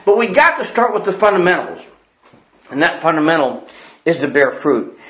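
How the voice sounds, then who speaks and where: A man preaching a sermon in an earnest, emphatic way, repeating his key phrases and quoting old-fashioned scripture wording. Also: high voice. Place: church building. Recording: echoey, dull lo-fi sound.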